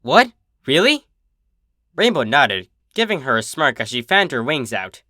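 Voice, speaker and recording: A man reading fan fiction aloud in an expressive storybook narration style. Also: medium pitch, moderate pace, dry recording, clean studio sound. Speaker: fan fiction reader, channel host.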